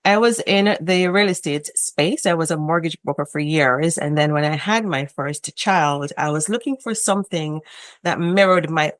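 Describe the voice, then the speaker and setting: A middle-aged woman with a Jamaican accent talks in a low, relaxed voice about her work as a home childcare educator. The sound is dry and close, with no room behind her. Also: moderate pace, no echo. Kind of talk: casual interview talk.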